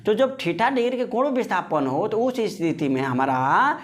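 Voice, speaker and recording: Man, slightly high voice, informal teacher, conversational, repetitive explanations, question-and-answer delivery. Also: very animated, normal speed, a little hiss or hum. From India. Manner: conversational, repeats phrases, poses and answers his own questions; addresses viewers as friends. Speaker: physics teacher.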